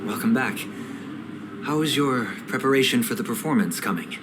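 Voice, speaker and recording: An actor playing a solemn, righteous winged brother in a video game, speaking in formal, measured, sermon-like phrases. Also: male voice, mid-range voice, expressive, very noisy, lightly acted. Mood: grave concern. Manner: formal, measured, preachy with quotations. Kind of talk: scripted dramatic video game cutscene dialogue.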